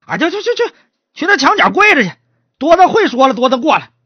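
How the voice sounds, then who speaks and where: Bullying county magistrate in a traditional tale, acted by a woman: high, barking, wildly swinging delivery, threatening and folksy. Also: huge pitch swings, normal speed, no room sound, dull audio. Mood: barking, impatient. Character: bullying, hot-tempered county magistrate, a man.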